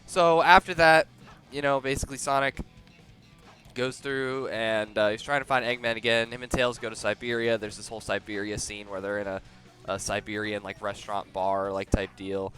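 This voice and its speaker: A young man giving a casual movie review close to the microphone, halting and often repeating words as he starts over. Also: fairly low pitch, steady tone, a bit bright, faint music behind. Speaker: video reviewer. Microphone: very close to the mouth.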